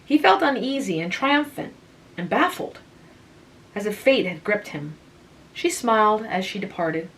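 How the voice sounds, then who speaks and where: Slow, calm woman reading a story. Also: medium pitch, rough recording. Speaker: reader of literature. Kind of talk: relaxing literary reading.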